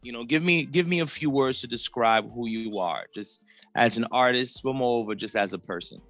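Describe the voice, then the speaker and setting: A man's voice: a little fast, a bit bright. Laid-back man hosting a music podcast. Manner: laid-back slang, swings pitch for quoted reactions. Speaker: podcast host. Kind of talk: casual interview chat.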